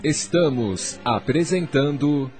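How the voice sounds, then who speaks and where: A man's voice: medium pitch, animated, slow. A man making a scripted radio drama announcement in an echoey recording. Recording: echoey, reverberant announcer audio. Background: music.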